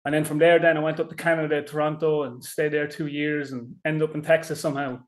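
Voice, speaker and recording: A man's voice: on the high side, little pitch movement, quick pace. A man with an Irish accent, a guest chatting casually and loosely, full of fillers. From Ireland. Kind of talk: casual interview chat.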